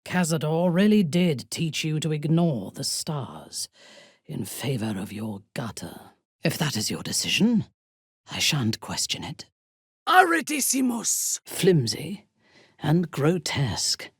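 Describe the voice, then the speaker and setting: A ruthless female drow warrior for a fantasy video game. Her voice is low and husky, delivered slowly and with haughty, formal diction. The intonation moves widely, from cold seduction to imperious command. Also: big pitch swings, no echo, studio quality, heavily acted. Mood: commanding incantation, then contemptuous, menacing. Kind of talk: video game character acting.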